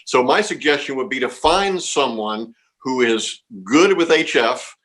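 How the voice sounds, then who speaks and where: A man's voice: mid-range voice, lively intonation, unhurried, a bit bright. A man being interviewed as a contest organizer, explaining things informally and reassuringly, often repeating words and phrases.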